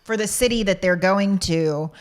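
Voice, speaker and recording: A woman's voice: medium pitch, moderate pace. Chatty woman co-hosting a podcast. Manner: chatty, supportive, laughs easily. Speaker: podcast co-host. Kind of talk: casual chat.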